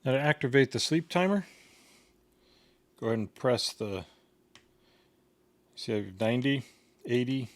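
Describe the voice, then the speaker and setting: A man's voice: medium pitch, expressive, a little slow, long pauses, dry recording. A man giving a casual hands-on product tutorial in a plain, step-by-step instructional way. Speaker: product reviewer demonstrating a gadget.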